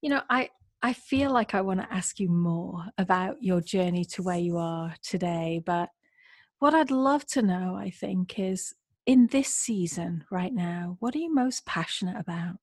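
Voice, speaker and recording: A woman's voice: fairly low pitch, animated, a little slow, no room sound. Upbeat woman podcast host, very dry sound. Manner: enthusiastic, warm praise and laughter. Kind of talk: podcast interview.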